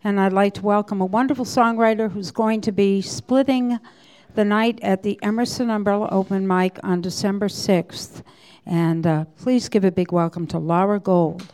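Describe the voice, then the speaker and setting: A woman's voice: mid-range voice, steady tone, normal speed, a little mellow, clean tone. A woman hosting an open mic, introducing the next performer in a casual, welcoming way.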